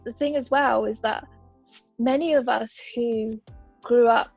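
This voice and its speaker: A woman's voice: a little high, fairly even intonation, unhurried, creaky, a little mellow, slightly rough, no echo, dull audio, soft background music. A woman in her thirties from Uganda, a podcast guest explaining her views in a thoughtful, example-driven way. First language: a Ugandan language. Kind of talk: conversational interview.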